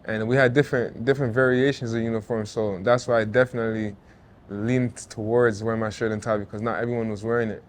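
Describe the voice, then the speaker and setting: A man in his thirties with a voice of middling pitch. He talks as an interview guest in a relaxed, earnest, conversational way. He often drops in "you know" and "like" and restarts his phrases. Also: quick pace, slight background noise. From Jamaica, raised in Toronto. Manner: laid-back and earnest, with frequent "you know" and "like" and some restarts. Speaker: interview guest, former football player and artist. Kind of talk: casual reflective interview talk.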